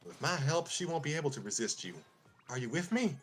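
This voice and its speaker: A man voicing an excitable, friendly cartoon turtle mail carrier in an animated, exclaiming manner. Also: fairly high pitch, fairly quick, soft background music, pitched above the performer's own voice. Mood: encouraging. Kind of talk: fan script read-through, cartoon voice.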